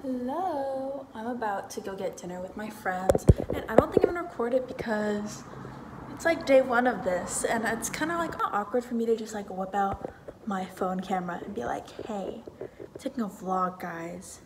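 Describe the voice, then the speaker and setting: A woman's voice: medium pitch, normal speed, noisy background. A young woman chatting casually to her phone camera, bubbly and full of fillers, in her bedroom and then a parked car. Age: young adult. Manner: bubbly, chatty, lots of fillers, laughs often. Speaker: vlogger. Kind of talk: casual vlog chat. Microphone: phone camera at arm's length.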